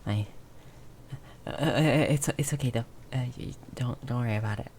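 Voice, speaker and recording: Woman voicing a timid stammering mouse, low and mellow. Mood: startled, flustered. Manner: nervous stammering, halting and repeating words. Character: tiny timid mouse person, nervously eager to please. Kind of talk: improvised ASMR roleplay. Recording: faint background noise with rain ambience. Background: rain sounds.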